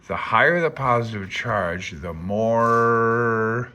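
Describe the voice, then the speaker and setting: A man's voice: mid-range voice, takes it slowly, pushed and bright. A man in his fifties giving an informal read-along tutorial, thinking aloud in a hesitant, self-deprecating way. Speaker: amateur radio hobbyist explaining a handbook.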